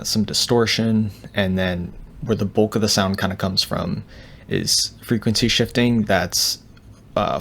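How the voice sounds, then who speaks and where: A man with a low voice and plenty of gravelly fry walks through technical material as an audio engineer. His delivery is casual and unscripted, in a laid-back tutorial style. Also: fairly bright tone, a little hiss or hum.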